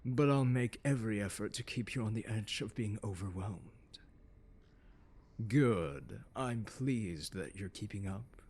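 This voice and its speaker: Man acting a haughty male scholar, deep husky voice, pompous, teasing, seductive, ASMR roleplay. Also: a little mellow. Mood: condescending. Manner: pompous, drawling, teasing, deliberate emphasis. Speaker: audio roleplay performer. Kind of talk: ASMR roleplay, scripted lecture to the listener. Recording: long hall-like reverb.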